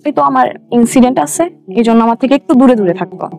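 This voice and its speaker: A woman's voice: fairly high pitch, animated, fast, gravelly, darkish tone. A young woman with a Bangladeshi Bengali accent, telling her own story in a colloquial way as an interview guest, with English words mixed in. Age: young adult. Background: background music.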